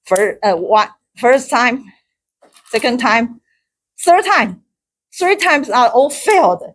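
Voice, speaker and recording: Halting Taiwanese woman telling a story. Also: a little hiss or hum. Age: middle-aged. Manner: halting, with many restarts and repeated words; laughs often, gets high and loud when excited. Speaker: club speaker. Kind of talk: personal story in a speaking club.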